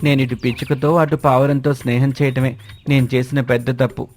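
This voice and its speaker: A troubled cartoon crow, acted by a man, caught between two admirers and reluctant to face them. He explains himself in a weary, plaintive way at a medium male pitch, with music underneath. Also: flat delivery, brisk, a little mellow, natural voice. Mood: troubled, resigned. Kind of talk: children's cartoon story dialogue.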